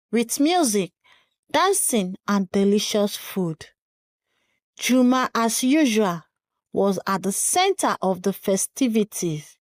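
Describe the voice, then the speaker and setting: A woman narrating a scripted folktale in a measured, dramatic storytelling manner. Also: medium pitch, no room sound, studio quality.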